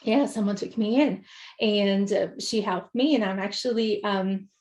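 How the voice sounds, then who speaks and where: A woman's voice: mid-range voice, unhurried, darkish tone. A woman from Brazil with a Brazilian accent, a business coach telling her personal story in an interview, earnest and reflective with many "right?" and "um" fillers. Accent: Brazilian Portuguese. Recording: roomy echo.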